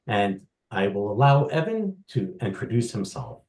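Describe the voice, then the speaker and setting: A man in his sixties, a volunteer minister, giving a slow, measured self-introduction. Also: on the low side, very animated, darkish tone. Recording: echoey, from far off the mic.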